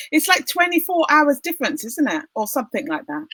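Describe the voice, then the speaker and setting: Bright, bubbly female interviewer; dry, close video-call audio. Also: high-pitched, very animated, brisk, dry recording. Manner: bubbly, laughing often, emphatic. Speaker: podcast host and opera singer.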